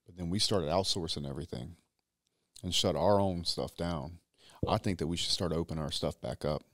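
Deep-voiced man, dry close sound. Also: no room sound. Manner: measured, drawn-out phrasing, gets louder when emphatic. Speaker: podcast guest, business owner. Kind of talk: casual conversation. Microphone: boom mic on stand.

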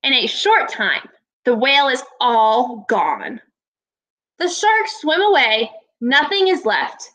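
A woman in her twenties, a teacher giving a read-aloud lesson to young children in a deliberate, instructive way. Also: high voice, sing-song, bright, forceful tone, rough recording. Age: 20s. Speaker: elementary school teacher. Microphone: laptop webcam at arm's length.

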